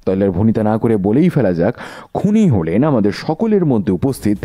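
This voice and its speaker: A man playing a sharp detective in a Bengali audio drama, speaking with rhetorical, theatrical questioning. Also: fairly low pitch, sing-song, fairly quick, slight background noise. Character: sharp, theatrical male detective unmasking a killer.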